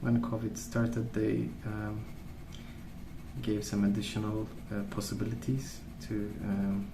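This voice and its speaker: A soft-spoken man rambling in an ASMR style, with a hesitant, meandering and unhurried way of recollecting. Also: lowish voice, level tone. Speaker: ASMR video host. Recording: roomy, distant mic, noisy background. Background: pencil colouring sounds, background noise.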